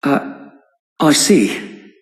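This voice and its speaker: A man playing an earnest, modest young nobleman in a video game, speaking formally and courteously. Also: drawn-out words. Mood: humble. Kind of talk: dramatic video game voice acting.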